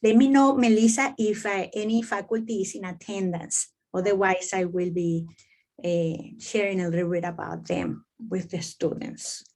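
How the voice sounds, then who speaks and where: Middle-aged woman from Venezuela, halting. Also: mid-range voice, steady tone, darkish tone. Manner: hesitant, many fillers and restarts. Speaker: professor presenting to admitted students. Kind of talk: online info session talk.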